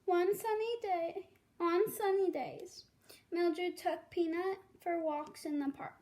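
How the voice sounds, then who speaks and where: A young girl reading a children's storybook aloud carefully, with some halting. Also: very high voice, fairly even intonation, darkish tone.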